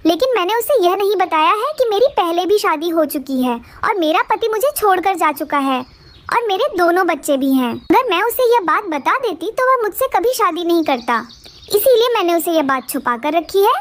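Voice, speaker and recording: A woman acts a coy female cartoon crow looking to be a bride. Her voice is very high and animated, coaxing and sly, like someone keeping secrets. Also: normal speed. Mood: conspiratorial. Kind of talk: Hindi moral-story cartoon dialogue.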